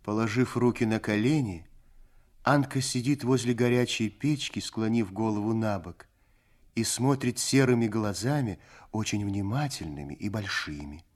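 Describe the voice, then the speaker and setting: A man's voice: fairly low pitch, normal speed. Male narrator of a radio story. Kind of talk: scripted literary narration for radio play.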